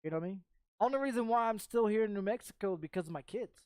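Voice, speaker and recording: A woman's voice: medium pitch, racing, a little mellow, no room sound. Blunt woman in her thirties, close and dry. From Texas, United States. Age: 30s. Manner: blunt, heavy swearing, repeats phrases. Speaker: game streamer. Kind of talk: casual rambling chat.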